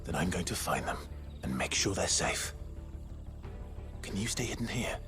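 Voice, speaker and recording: An actor playing an earnest, brooding, protective young soldier in a video game, serious and restrained. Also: male voice, sits low, animated, moderate pace. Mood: gentle, reassuring. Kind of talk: dramatic video game acting. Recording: music behind the voice.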